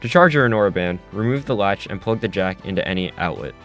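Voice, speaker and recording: Low-voiced man acting a slick tech pitchman. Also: lively intonation, fast, a bit bright, soft background music. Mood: polished sales pitch. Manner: salesmanlike, rhetorical, instructive. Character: slick male tech company head engineer pitching a gadget. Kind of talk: scripted infomercial presentation.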